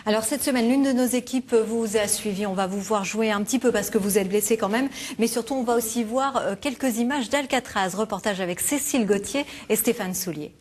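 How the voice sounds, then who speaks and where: A woman's voice: on the high side, brisk, hardly any pauses, darkish tone. A woman presenting a regional TV sports segment who interviews a guest in the studio and asks leading questions.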